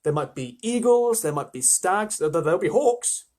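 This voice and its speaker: A man's voice: sits high, lively intonation, moderate pace, darkish tone, voice pushed up. Man playing an eager, confident British schoolboy. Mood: excited. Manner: old-fashioned British schoolboy enthusiasm.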